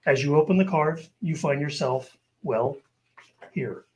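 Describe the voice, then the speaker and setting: Man narrating radio drama, slightly high voice. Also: measured pace. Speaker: narrator of an amateur radio play. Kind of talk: old-time radio show narration. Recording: noisy amateur live recording.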